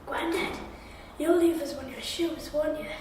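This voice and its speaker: A woman's voice: sits high, a little slow, a little mellow, slight background noise. A performer voicing an earnest, stubborn, dreamy young boy in a radio drama, naive and insistent, with a North East English accent. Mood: quiet, curious. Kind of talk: naturalistic 1990s radio drama acting.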